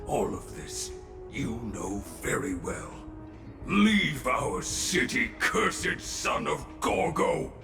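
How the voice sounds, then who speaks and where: A man's voice: mid-range voice, very animated, takes it slowly, vocal fry, reverberant, over music, heavily acted. A male actor playing a vengeful, half-mad warrior in a video game, theatrical and anguished, cursing in archaic phrasing. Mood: seething. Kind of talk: scripted video game voice acting.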